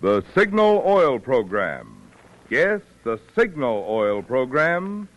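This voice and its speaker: Animated old-time radio announcer, man. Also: medium pitch, takes it slowly, low-quality recording. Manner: polished, emphatic sales delivery. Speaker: radio announcer reading sponsor message. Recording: band-limited vintage radio, faint music.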